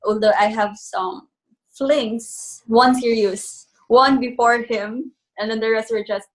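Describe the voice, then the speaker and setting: A woman's voice: a little high, fairly even intonation, slow. A woman in her twenties from the Philippines chatting as a guest, reflective and hesitant with fillers. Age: 20s. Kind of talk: casual chat.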